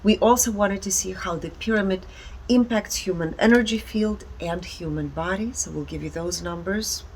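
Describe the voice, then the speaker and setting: A woman giving a conversational, explanatory presentation in deliberate short phrases. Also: medium pitch, a little mellow. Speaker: speaker presenting energy readings. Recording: background ambient noise.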